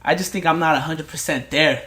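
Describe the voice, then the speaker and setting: A man's voice: on the high side, steady tone, brisk, pushed and bright. Stuttering young man, light voice. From Mexico. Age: 20s. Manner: stutters often, repeats words. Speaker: podcast guest. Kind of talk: casual chat.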